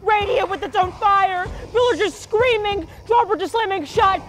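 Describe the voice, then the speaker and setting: A woman's voice: sits high, takes it slowly, recorded from a distance. A young woman acting a dramatic monologue as a wry, defiant woman in labour, breathless and pleading. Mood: fierce, strained. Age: young adult. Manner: dramatic, breathless, with sardonic asides. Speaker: student actor. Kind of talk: theatrical monologue performance.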